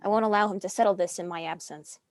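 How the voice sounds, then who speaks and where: A woman's voice: medium pitch, fast, natural voice. A woman playing a proud, defiant young nun in a dramatic script reading, commanding and emphatic. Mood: curt, guarded.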